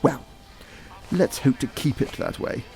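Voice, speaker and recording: An actor playing a worldly, middle-aged father in an audio drama, smooth-talking, provocative and theatrical. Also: medium pitch, measured pace, dark tone, soft background music, poor audio. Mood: probing, candid.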